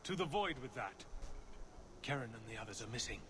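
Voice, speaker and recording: A man plays a defiant young recruit soldier from a video game. He speaks bluntly and urgently in a middle-pitched voice, with a steady hiss of noise behind him. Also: a little fast, close to an everyday voice. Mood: worried.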